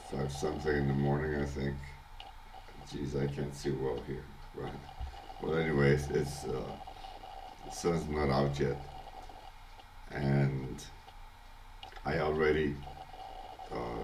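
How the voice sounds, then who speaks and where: An older man with a congested, hoarse voice from illness, talking in a halting casual video diary at home, often chuckling. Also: rumbling low, a little mellow, a little hiss or hum, low-quality recording. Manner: halting, many restarts and fillers, chuckles often. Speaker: vlogger. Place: at home, early morning.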